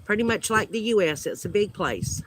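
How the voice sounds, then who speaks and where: High, bright-voiced Southern man, slow chat. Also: animated, rough recording. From United States. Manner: hesitant, many fillers, friendly small talk. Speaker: homesteading channel host. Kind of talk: casual livestream chat with viewers. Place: outdoors at home.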